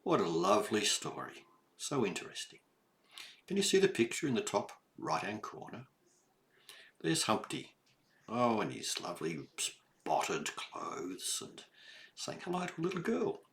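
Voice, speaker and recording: Casting: older male storybook reader, low-pitched and highly expressive, addressing small children directly; noisy home-style recording. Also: moderate pace, vocal fry, pauses often. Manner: animated, addresses the child listener with questions and exclamations. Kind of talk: read-aloud storytelling with explanatory asides for young children.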